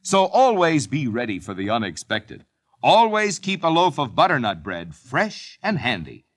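A man reading a cheerful 1950s radio commercial, his pitch swooping widely as he sells. Classic old-time ad delivery. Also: wildly swooping pitch, normal speed. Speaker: commercial announcer.

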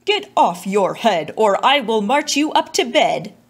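Woman putting on a stern, animated storybook father. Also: fairly high pitch, unhurried, exaggerated voice. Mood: exasperated. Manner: firm, scolding. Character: stern, exasperated father scolding his young son. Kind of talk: children's storybook reading.

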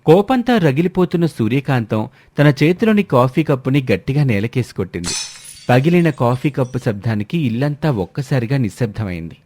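Mellow male story narrator. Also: medium pitch, expressive, fairly quick.

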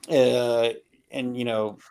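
A middle-aged man talks casually about music over a video call from a room at home. His delivery is halting and opinionated, with frequent throat clearing, at an ordinary male pitch. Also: steady tone, warm tone, low-quality recording. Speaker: album review panelist. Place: home room with records, indoors.

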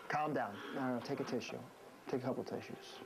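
An American man in his thirties, a high school principal, speaking in a stern, authoritative way. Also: brisk, gravelly. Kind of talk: reality show narration and firm talk with a student. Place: school offices and locker room. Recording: noisy reality TV location sound.

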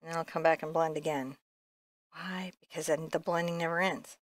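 A woman's voice: low voice, steady tone, normal speed, dry recording. A woman teaching painting in a casual, chatty way, with playful, self-deprecating banter as she works in a studio. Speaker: painting teacher, show host. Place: indoor art studio.

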